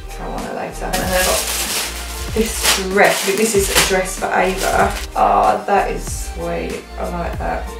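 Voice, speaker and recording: A woman's voice: medium pitch, gravelly. A young British woman chatting casually and informally, thinking aloud as she vlogs. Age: young adult. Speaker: vlogger. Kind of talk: casual chatty haul vlog. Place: living rooms at home. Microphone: camera a few feet away. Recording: roomy, distant mic, music behind.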